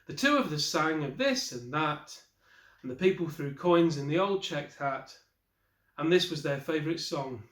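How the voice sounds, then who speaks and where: A man in his forties reading a picture book aloud as an expressive storyteller, the way a teacher would. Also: a little high, normal speed. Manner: expressive storyteller with brief asides to listeners. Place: small wallpapered room indoors. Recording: roomy, echoey indoor recording.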